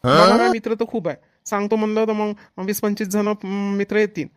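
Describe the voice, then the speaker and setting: A woman's voice: mid-range voice, brisk, close to an everyday voice. A woman voicing an easygoing, helpful young village son in a cartoon comedy, plain and matter-of-fact, with a Varhadi Marathi accent. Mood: explaining.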